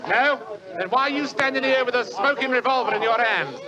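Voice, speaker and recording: A stubborn London constable from a 1940s radio play. He barks in a very high, strained pitch with an English accent and officious air. A crowd murmurs behind him. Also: fairly quick, dull audio. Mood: officious, accusing. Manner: loud, bossy, barking.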